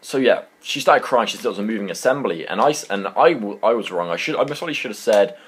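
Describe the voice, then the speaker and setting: A young British man telling a personal story in a casual, rambling, animated and slangy way. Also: lowish voice, fast talker, slightly rough. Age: young adult.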